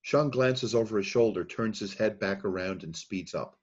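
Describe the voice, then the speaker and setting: A man narrating the stage directions at a screenplay read-through in a plain, steady reading voice. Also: fairly low pitch, normal speed, slightly rough, lo-fi sound. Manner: plain, steady reading of scene headings and action.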